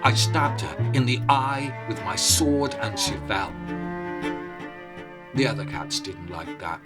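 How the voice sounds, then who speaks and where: A man telling stories in a dramatic, theatrical style with deliberate phrasing. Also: lowish voice, lively intonation. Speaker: storyteller. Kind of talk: dramatic oral storytelling. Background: prominent music.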